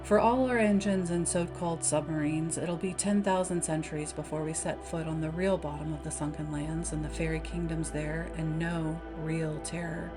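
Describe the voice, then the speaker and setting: A woman's voice: low voice, steady tone, normal speed, few pauses, natural voice. Woman reading as a brooding, folksy lighthouse keeper. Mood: musing. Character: brooding, talkative male lighthouse keeper, awed by the sea. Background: background music.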